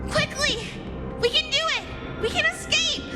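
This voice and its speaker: Young girl fleeing, very high voice, urgent, pleading, video game, loud music. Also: normal speed. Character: frightened, hopeful young girl slave fleeing. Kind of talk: video game flashback dialogue.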